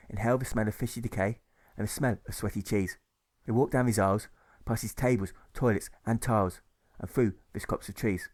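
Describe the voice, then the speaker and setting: A man's voice: mid-range voice, darkish tone. A man reading rhyming story narration as an author, with a rhythmic delivery that leans on lists and rhymes. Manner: rhythmic, list-heavy, drawn-out rhymes. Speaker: author reading own audiobook.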